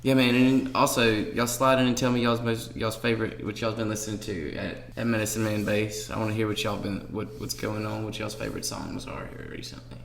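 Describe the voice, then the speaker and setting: Young male co-host, rambling casual chat, gravelly, fairly low, echoey room. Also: level tone, brisk, few pauses, background noise. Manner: rambling, frequent fillers and restarts. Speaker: podcast co-host.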